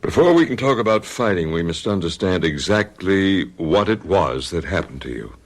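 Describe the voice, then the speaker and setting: An actor playing a calmly skeptical male doctor who questions deliberately in an old-time radio drama. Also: sits low. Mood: measured, skeptical.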